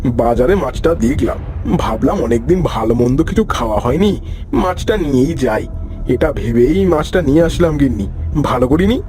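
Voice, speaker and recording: A man's voice: mid-range voice, animated, normal speed, warm tone, faint music behind, natural voice. A man voicing a mild, henpecked husband and doting father in a cartoon, speaking in a placating way. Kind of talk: Bengali fairy-tale cartoon voice acting.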